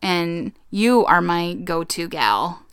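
Young woman, podcast host, bright voice, lively pitch, slow unhurried talk, frequent laughter, casual swearing. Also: smooth, no room sound, clean studio sound. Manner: laughs often, swears casually, playfully blunt. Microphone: podcast microphone.

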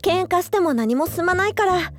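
An actress playing a friendly young university student who calms others in a gentle, chatty way. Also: female voice, extremely high pitch, moderate pace. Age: young adult. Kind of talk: radio drama acting.

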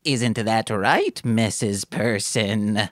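Woman as a creepy alien rink guy, sing-song, slow, lowish, dry close sound, comedy read. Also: no room sound, heavily acted. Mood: smooth scheming. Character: creepy, doting space-alien man running roller rink.

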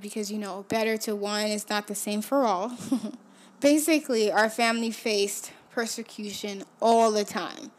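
A woman in her twenties, a native Haitian Creole speaker from Haiti, hosting a podcast and telling her life story in a reflective way, often letting her phrases trail off quietly. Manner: reflective, often drops to a soft low trail at phrase ends. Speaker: podcast host. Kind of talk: personal storytelling.

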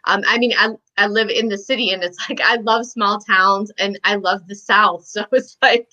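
A woman's voice: medium pitch, fairly even intonation, normal speed, sharp tone, highs cut off. A woman from Michigan, a guest author chatting casually on a video call, talking with lots of "um"s and small laughs. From Michigan, USA. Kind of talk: casual podcast chat.

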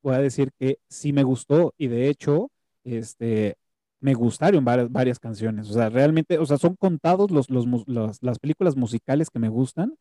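A man with a very dark, mellow voice leads a relaxed film chat. He talks haltingly and keeps restarting his words. The sound is close and dry, with no room around it. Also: mid-range voice, no echo. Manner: hesitant, restarts words often. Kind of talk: casual film review chat.

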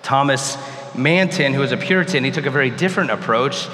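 A hoarse man, a pastor who has lost his voice, preaching a sermon in a conversational way with restarts. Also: mid-range voice, a little fast, pushed and bright. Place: hot church sanctuary. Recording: roomy hall with faint noise.

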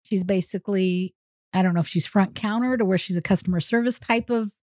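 A woman's voice: medium pitch, brisk, darkish tone, no echo, studio quality. A woman co-hosting a podcast, chatting casually about television shows. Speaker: podcast co-host. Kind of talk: casual TV recap chat.

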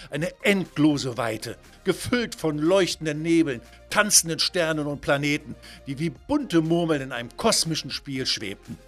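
A man's voice: on the high side, fairly bright tone, breathy edge. A man narrating a scripted children's story in a dramatic, wonder-filled way.

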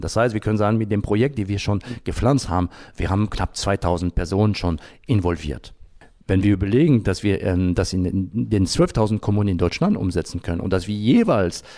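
Male interview guest, low mellow voice, hesitant searching delivery, dry close sound. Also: no echo. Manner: halting, searching for words with frequent hesitations. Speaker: interview guest, environmental group founder. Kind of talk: unscripted interview answers.

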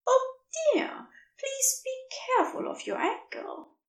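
A woman voicing a big, gentle dragon creature that fusses soft and warm over a hurt guest, in a podfic reading. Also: extremely high pitch, lively intonation, takes it slowly, roomy sound, distant mic, voice pushed up, exaggerated voice. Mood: fond. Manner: soft, fussing, warm and reassuring. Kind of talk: podfic character voice.

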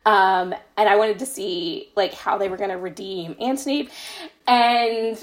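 A woman's voice: medium pitch, a little slow. A woman being interviewed as an author in a casual podcast chat, talking with gushing, emphatic enthusiasm. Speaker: interviewed fan fiction author.

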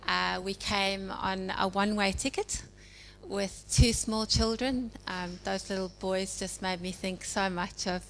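A woman's voice: mid-range voice, fairly even intonation. South African woman preaching in a reverberant hall. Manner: conversational with many ums and pauses. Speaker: church speaker. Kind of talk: informal sermon. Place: church hall. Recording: hall reverb with faint hum.

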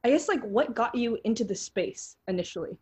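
A woman's voice: fairly high pitch, animated, a little fast, darkish tone. A woman in her twenties, host of a podcast, reading a scripted intro and interviewing a guest in an upbeat way. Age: 20s.

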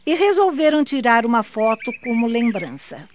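A woman's voice: on the high side, very animated, moderate pace. A woman reading scripted narration for a radio drama. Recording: dull lo-fi, noisy background.

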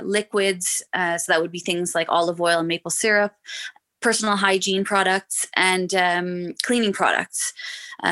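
A Canadian woman in her thirties talks as a podcast guest in a bright, forward voice. She explains things in a friendly way, stopping often to say um. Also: mid-range voice. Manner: friendly, explanatory, frequent ums. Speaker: guest, shop owner. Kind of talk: podcast interview.

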